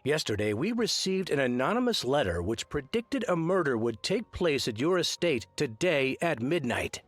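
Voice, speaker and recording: Gentleman private detective for an adventure game: articulate, theatrical, crisp and lively in pitch. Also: normal speed, dry recording. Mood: earnest, intent. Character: earnest, observant male private detective, gentlemanly. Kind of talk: scripted adventure game dialogue.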